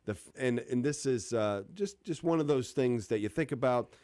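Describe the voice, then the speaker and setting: A man's voice: medium pitch, lively intonation, moderate pace, darkish tone, clear, resonant tone. A man co-hosting a radio show, telling jokes in a casual, chatty style. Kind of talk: casual banter and joke-telling. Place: radio studio. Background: music bed.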